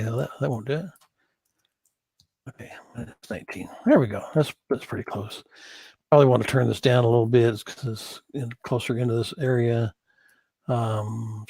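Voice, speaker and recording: A man giving an informal screen-share tutorial as an instructor, thinking aloud in a halting way and correcting himself as he goes. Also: mid-range voice, fairly even intonation, darkish tone, husky, dry recording. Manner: halting, hesitant delivery with self-corrections. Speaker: course instructor in church sound.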